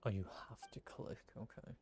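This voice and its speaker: A man reacting casually to a video game, muttering low-key remarks to himself as he plays. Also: normal speed, darkish tone, a little hiss or hum. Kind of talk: casual off-the-cuff gameplay reactions.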